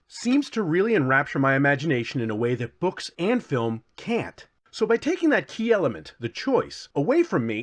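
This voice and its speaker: A man narrating a scripted comedic review as host, with a theatrical, wry, self-deprecating delivery. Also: fairly high pitch.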